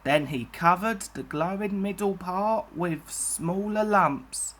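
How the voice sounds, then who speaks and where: A woman's voice: on the low side, takes it slowly. A woman reading a children's story aloud as scripted narration.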